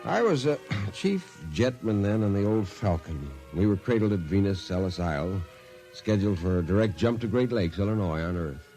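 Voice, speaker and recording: Man playing a veteran space jetman, low voice, very lively intonation, colloquial, vintage radio drama with faint music. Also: moderate pace, close to an everyday voice. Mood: reminiscing. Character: veteran spaceship chief jetman, plain-spoken, friendly old shipmate. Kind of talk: old-time radio drama narration and dialogue.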